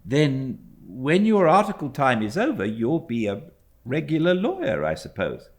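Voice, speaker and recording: A man plays a well-mannered young British boy in a storybook reading. The pitch is lifted well above a grown man's and swings about freely. He sounds earnest and inquisitive, with a light touch. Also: huge pitch swings, a little slow. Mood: eager, polite. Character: polite, curious young boy. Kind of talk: audiobook character acting.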